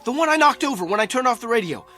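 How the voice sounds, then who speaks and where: An actor playing a distraught husband in a radio drama, agitated, insistent and pleading. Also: male voice, extremely high pitch, very animated, racing, bright, forceful tone, faint music behind, full character voice. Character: distraught husband convinced his face is a stranger's.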